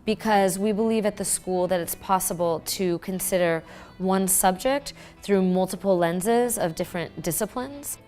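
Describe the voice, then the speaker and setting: A woman teacher calmly explaining her school's dance project in a documentary interview. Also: medium pitch, soft background music. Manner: explanatory, measured.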